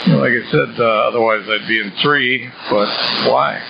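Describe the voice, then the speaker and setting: A man talks casually over two-way radio, his voice full of creak and fry. Clear static noise sits around the voice throughout. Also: mid-range voice, moderate pace, dull audio. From United States. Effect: sideband radio transmission. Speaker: amateur radio operator. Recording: noisy sideband radio with static.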